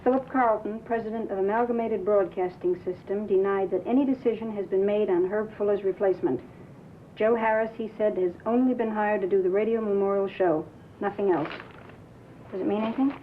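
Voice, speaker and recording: An actress playing a brisk, inquisitive colleague in a 1950s film drama, with clipped, matter-of-fact delivery. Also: female voice, medium pitch, lightly acted. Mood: brisk concern. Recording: noisy, tinny old film soundtrack.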